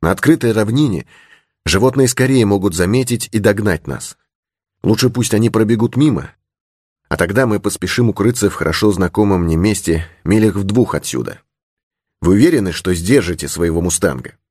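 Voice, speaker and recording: A man's voice: on the low side, lively intonation, brisk, darkish tone, slightly rough, dry recording, studio quality. A man voicing a courteous, cautious young horseman protecting a lady in an adventure audiobook. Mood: urgent, cautious. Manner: courteous, earnest. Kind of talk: audiobook character in adventure novel.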